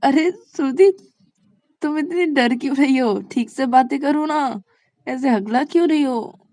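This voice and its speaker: A woman's voice: medium pitch, measured pace, warm tone, dry recording, close to an everyday voice. A woman voicing an amused, confident young woman in an audio story, teasing and mock-indignant in her questions.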